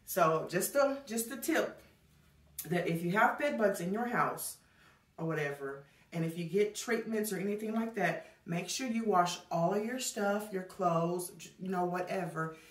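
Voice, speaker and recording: A woman's voice: fairly low pitch, measured pace. A woman, chatting casually to camera in a home vlog and calling her viewers y'all. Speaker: home vlogger. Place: small indoor hallway, later a child's bedroom. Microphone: camera on a stand a few feet away. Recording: echoey room, voice from a distance.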